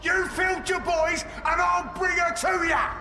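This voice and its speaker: An eager ork tinkerer in a video game. The voice is extremely high and piercing, gravelly and shouted, ringing with reverb. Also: male voice, fairly even intonation, unhurried, full character voice. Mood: eager, scheming. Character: eager, manic ork mechanic serving his boss. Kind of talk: video game character acting. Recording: echoey reverberant space, faint music.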